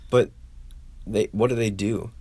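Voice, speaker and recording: A man co-hosting a casual podcast chat with a sore, froggy throat and a dry, joking way of thinking out loud. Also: on the low side, fairly even intonation, measured pace, slightly rough, no echo. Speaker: podcast co-host.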